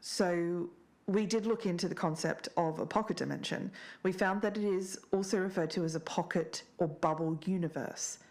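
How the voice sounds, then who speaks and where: A woman playing a podcast investigator, speaking in a measured, sceptical and deliberate way as she narrates and discusses. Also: fairly low pitch, fairly even intonation, a little mellow, lightly acted. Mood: measured, explanatory. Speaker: podcast co-host.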